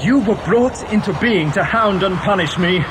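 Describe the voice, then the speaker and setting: An actor playing an anguished, determined time-travelling adventurer in an audio drama, pleading with theatrical intensity. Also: male voice, sits high, normal speed, background noise, close to an everyday voice. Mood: desperate. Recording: loud music bed over dialogue.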